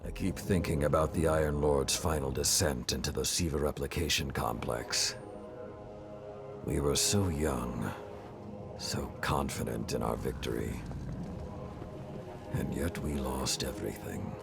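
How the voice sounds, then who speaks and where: An actor playing a weary, solemn old warrior lord in a video game, speaking gravely and deliberately with regret. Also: male voice, exaggerated voice. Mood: regretful, resolute. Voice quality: deep, gravelly. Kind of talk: dramatic video game acting. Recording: loud music over the voice.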